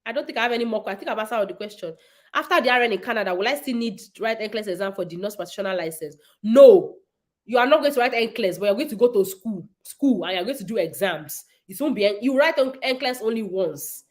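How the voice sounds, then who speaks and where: A woman's voice: mid-range voice, expressive, quick pace. Woman answering livestream questions. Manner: emphatic, repetitive, reads questions aloud then answers. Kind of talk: casual livestream Q&A.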